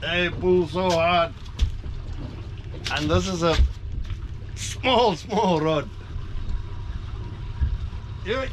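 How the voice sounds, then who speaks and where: Older man, excited boat fishing commentary, slow drawn-out talk, a bit high, loud engine and wind noise behind. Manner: coaching, repeats short calls. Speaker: fishing guide filming the trip. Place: open boat at sea. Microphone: action camera on board. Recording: noisy boat and sea wind.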